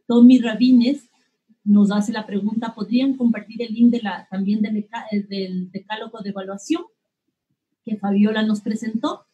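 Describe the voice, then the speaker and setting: A woman with a fairly high, mellow and husky voice moderates an online webinar. She reads audience questions aloud, stopping often on "eh" and restarting. The audio is dull and lo-fi, like a video call. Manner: halting, many "eh" fillers and restarts.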